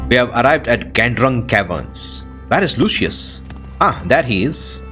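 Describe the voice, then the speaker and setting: A man's voice: medium pitch, big pitch swings, unhurried, bright, forceful tone, soft background music. A man hosting a gaming video with casual playthrough commentary, reacting aloud as he plays.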